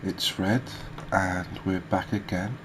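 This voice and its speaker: A man hosting a gaming video, giving soft ASMR commentary in a halting, hesitant manner. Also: low-pitched. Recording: steady background noise.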